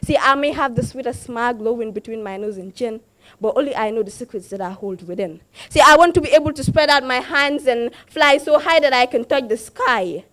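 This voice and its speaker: A woman's voice: fairly high pitch, fairly quick, a bit bright, no echo. A young woman performing spoken word poetry at a slam, impassioned, with a repeated refrain and rising emphasis. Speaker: slam poet.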